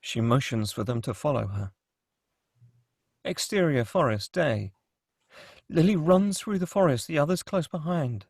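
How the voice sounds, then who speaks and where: A man with a fairly high, creaky voice narrates a screenplay. He reads scene headings and action as scripted narration, in a dry, close sound. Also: lively intonation, a little slow, long pauses, a little mellow, dry recording. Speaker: narrator reading screenplay directions. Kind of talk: scripted narration at a table read.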